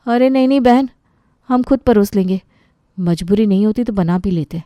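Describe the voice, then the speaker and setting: A woman's voice: medium pitch, normal speed, no echo, highs cut off, natural voice. A woman playing a practical, exasperated young housewife who protests in a dramatized family story. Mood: protesting.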